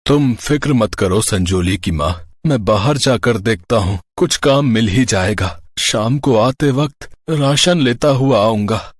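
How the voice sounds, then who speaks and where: A man's voice: medium pitch, a little slow, hardly any pauses, no echo, studio quality, lightly acted. A man playing a poor, ailing husband in a moral story, stoic and reassuring as he speaks.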